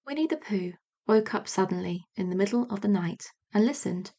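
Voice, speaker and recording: A woman reading a children's storybook as an audiobook narrator, measured and expressive. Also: medium pitch. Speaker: audiobook reader.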